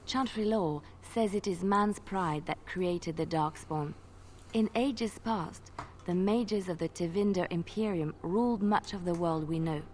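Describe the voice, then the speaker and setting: An actress playing a young woman bard in a game, telling tales in a gentle storytelling way. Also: fairly low pitch, a little slow, slight background noise, lightly acted. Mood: solemn. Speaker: minstrel and bard.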